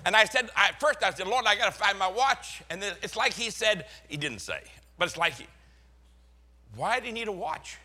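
A man's voice: sits high, animated, normal speed, sharp tone. An older man from Boston with a Boston accent, a pastor preaching a sermon full of folksy, chuckling stories.

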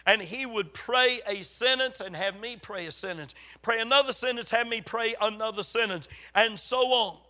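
High-voiced Southern preacher, slightly raspy. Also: male voice, bright, forceful tone. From South Carolina, USA. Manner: folksy, emphatic storytelling with dramatic pauses. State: getting over a cold. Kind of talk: sermon to a congregation.

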